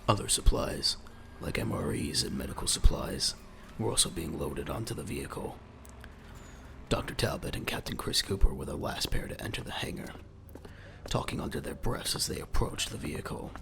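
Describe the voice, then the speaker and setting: A man with a gravelly voice narrating a scripted audio drama. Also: a bass voice, expressive, moderate pace, sharp tone, a little hiss or hum.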